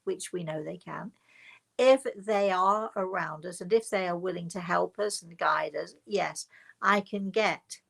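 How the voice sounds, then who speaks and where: Creaky-voiced woman telling a personal story. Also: fairly low pitch, fairly bright tone. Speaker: spiritual medium telling her story. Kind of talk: informal personal storytelling to an online audience.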